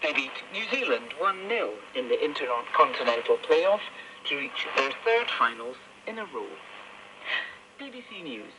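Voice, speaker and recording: A man's voice: fairly high pitch, very animated, moderate pace. A man reading scripted news, heard over noisy shortwave radio. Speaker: newsreader. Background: radio static.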